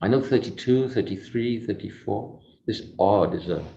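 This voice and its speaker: Male swami as pilgrimage guide, low voice, halting devotional narration, lo-fi sound with kalimba behind. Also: gravelly. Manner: halting, musing, fragmentary phrases with repetitions. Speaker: swami guiding a virtual pilgrimage. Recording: dull lo-fi audio. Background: kalimba music, soft mallet-like tones.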